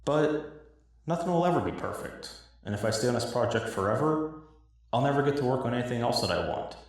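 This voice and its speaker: A man's voice: medium pitch, expressive, a little fast, distant mic. A man delivering a dramatic scripted monologue in a hobbyist game parody. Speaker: cosplay builder acting in own parody cutscenes. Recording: echoey, roomy recording.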